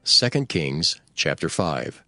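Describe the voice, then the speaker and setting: A man's voice: rumbling low, big pitch swings, takes it slowly, bright, forceful tone. A man narrating a dramatized audiobook of scripture. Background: background music.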